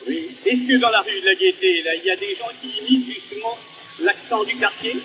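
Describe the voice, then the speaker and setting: A man's voice: very high-pitched, very animated, brisk, gravelly. A man interviewing in a polite, inquiring conversational way on a muffled, noisy early recording.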